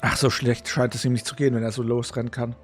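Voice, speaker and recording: A man commenting casually as he plays a video game. His mid-pitched voice drifts into muttered, playful asides and read-out dialogue, with faint game music behind. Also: flat delivery, fast. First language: German. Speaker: gamer playing and commenting.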